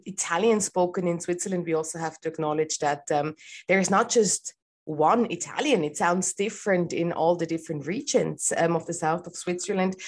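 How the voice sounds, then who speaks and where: A woman's voice: on the low side, darkish tone. A woman hosting a podcast, asking interview questions and listening with frequent murmured agreement. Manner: attentive, frequent "mm-hmm" backchannels.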